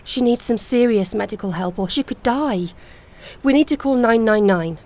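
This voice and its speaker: A woman's voice: a little high, normal speed, a little mellow, slight background noise, natural voice. A woman playing a principled charity worker in an audio play, firm, earnest and plain-spoken. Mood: alarmed. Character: firm principled female leader of small charity group.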